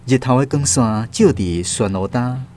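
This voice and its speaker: A man with a Taiwanese accent narrating a literary radio drama as an expressive storyteller. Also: mid-range voice, moderate pace, soft background music.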